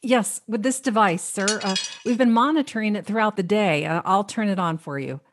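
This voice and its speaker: An actress plays a female intelligence aide with a mid-pitched voice. She explains things formally and earnestly, deferring to her superior, in radio drama style. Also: moderate pace, background noise, lightly acted. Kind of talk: radio play acting.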